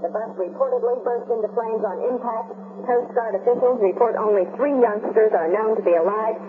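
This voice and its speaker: An actress playing a serious news reporter in a 1970s radio drama, reading a bulletin formally. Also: female voice, a little high, fairly quick, lightly acted. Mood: grave. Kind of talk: 1970s radio newscast acting. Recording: tinny 1970s radio recording.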